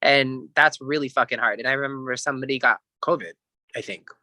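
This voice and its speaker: Guy guesting on a podcast, bright voice, opinionated casual chat, dry sound. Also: medium pitch, a little fast, dry recording.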